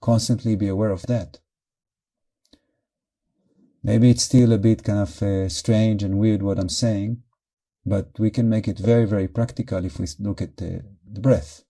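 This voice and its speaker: Very mellow, soft-edged male meditation guide. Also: lowish voice. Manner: calm, measured, short phrases with pauses. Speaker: meditation teacher.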